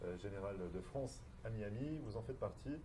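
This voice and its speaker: A man hosting a TV interview, brisk and prompting, with filler sounds. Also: on the low side, animated, a crowd in the background. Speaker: TV host. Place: TV studio.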